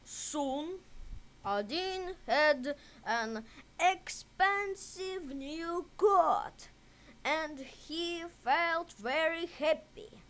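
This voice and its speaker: A woman reading a fairy tale expressively and deliberately for children and English learners. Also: very high voice, fairly bright tone. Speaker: storyteller, English teacher.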